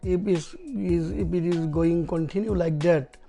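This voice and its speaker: A middle-aged man with a Bangladeshi accent, a taxi driver speaking in a documentary interview, halting with many fillers and simple phrasing. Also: a little high, vocal fry, warm tone, soft background music. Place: apartment living room.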